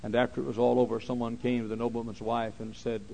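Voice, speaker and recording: A man preaching a sermon, repeating phrases and swinging from quiet, slow talk to loud shouting. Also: mid-range voice, level tone, vocal fry, a little hiss or hum.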